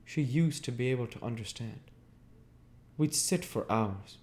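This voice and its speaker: A man's voice: medium pitch, animated, unhurried, a little mellow, pitched above the performer's own voice, lightly acted. A man voicing a lovesick, stubbornly hopeful wealthy man in an audiobook, earnest and insistent. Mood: wistful. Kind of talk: audiobook character voice.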